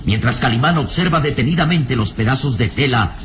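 An actor playing the solemn, suspenseful narrator of a mystery radio serial, with theatrical delivery. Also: male voice, medium pitch, lively intonation, fairly quick, natural voice. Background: music.

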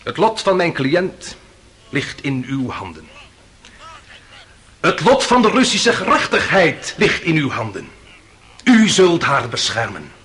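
An actor playing an impassioned defence lawyer making a grand, rhetorical appeal to a jury in a radio play. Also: male voice, on the high side, very animated, takes it slowly, pauses often, fairly bright tone, exaggerated voice. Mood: grand, rousing. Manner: rhetorical, declamatory, emphatic. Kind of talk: Dutch radio play acting, courtroom oratory.

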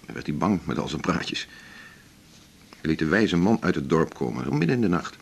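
Opinionated doctor in a radio play, husky deep voice, wry, kinda lectures you. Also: male voice, big pitch swings, a little fast, full character voice. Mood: anecdotal, knowing. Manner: wry, pontificating. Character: self-assured, opinionated male doctor, an old colleague. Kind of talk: naturalistic Dutch radio drama dialogue.

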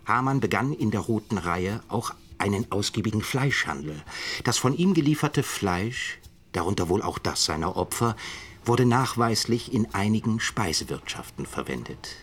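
An actor playing an earnest, articulate professor with a measured, ironic manner in a German radio drama. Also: male voice, on the low side, expressive, a bit bright. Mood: wry, measured. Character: earnest male professor, narrating and pleading for mercy.

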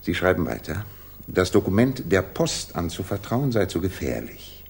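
A man's voice: deep voice, very animated, normal speed, vocal fry, breathy edge, full character voice. An actor in a German radio drama playing a shrewd, commanding male detective who dictates with precise authority.

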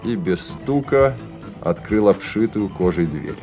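A man's voice: on the low side, lively intonation, measured pace, over music. A man narrating a Russian radio play as a scripted literary story.

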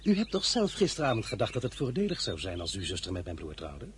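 Brisk, bold young general. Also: male voice, on the low side, huge pitch swings, husky, exaggerated voice. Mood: teasing, insistent. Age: young adult. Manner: assertive, blunt, persuasive. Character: young ambitious, bold, self-assured male general. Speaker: actor in a radio drama. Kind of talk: radio play acting.

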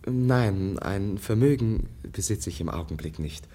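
An actor playing a gentle, naive young Russian prince in a 1950s radio drama, speaking with formal courtesy and some hesitation. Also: male voice, lowish voice, expressive, unhurried. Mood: open, hesitant. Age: young adult.

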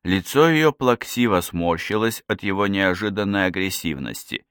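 A man narrates literary fiction in a low register. His intonation is very lively, rising and falling across the sentences of the scripted text. The sound is dry, with no room around it. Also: moderate pace, clear, resonant tone, no room sound. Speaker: audiobook narrator.

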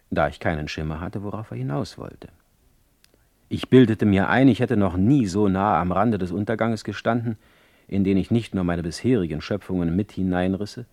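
Deep, brooding ironic poet. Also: male voice, a little mellow, no room sound, clean studio sound. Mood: anxious, dramatic. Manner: eloquent, theatrical, ironic. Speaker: actor, narrator of a radio play. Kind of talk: literary radio play monologue, letter read aloud.

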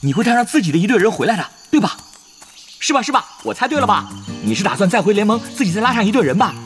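High, rapid-fire chatterbox young gamer. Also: male voice, big pitch swings, soft background music. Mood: excited, pressing. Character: cocky talkative young male gamer, excitable and nagging. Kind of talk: Chinese audio drama acting.

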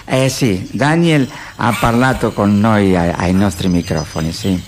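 A man playing a solemn, eager roving reporter giving a halting, grave live report in radio theatre. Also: lowish voice, noisy background, voice pushed down, natural voice.

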